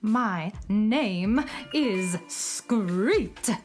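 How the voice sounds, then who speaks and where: A woman's voice: mid-range voice, expressive, drawn-out words, darkish tone, faint music behind. A woman playing a vain, weepy little alien bee in a children's radio drama, boastful and full of self-pity. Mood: plaintive. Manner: boastful, melodramatic, self-pitying.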